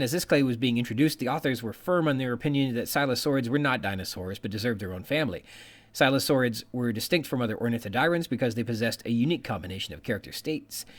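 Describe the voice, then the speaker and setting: A man hosting a podcast, explaining research in a semi-scripted way, reading out technical terms and quotations with informal asides. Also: mid-range voice. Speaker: podcast host.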